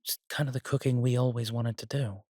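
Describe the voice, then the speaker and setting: A man playing an easygoing, earnest chef boyfriend in an intimate roleplay audio drama, talking casually and haltingly with a husky, reassuring voice. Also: mid-range voice, fairly even intonation, warm tone, dry recording, clean studio sound, close to an everyday voice. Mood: casually enthusiastic.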